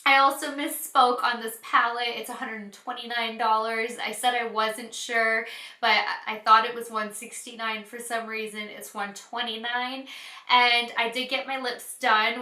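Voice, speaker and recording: A woman's voice: on the high side, sharp tone. A woman beauty vlogger with a congested, nasal head-cold voice, chatting casually to her viewers in an apologetic, explanatory way. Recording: roomy, echoey sound.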